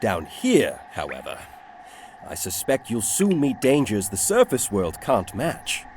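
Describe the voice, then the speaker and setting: A man's voice: lowish voice, huge pitch swings, measured pace, darkish tone, exaggerated voice. A man playing a thoughtful, courteous wandering insect adventurer in a video game, speaking in a polite, old-fashioned, musing way. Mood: gently cautioning. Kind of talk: dramatic video game character acting. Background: ambient noise.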